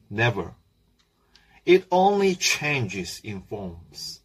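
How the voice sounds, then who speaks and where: A man giving a prepared talk in a video, speaking deliberately and earnestly. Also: medium pitch, a bit bright, husky.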